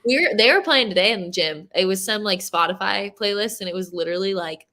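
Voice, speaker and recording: A young woman, a guest athlete, chatting casually on a podcast, relaxed and laughing often. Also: medium pitch, fast talker.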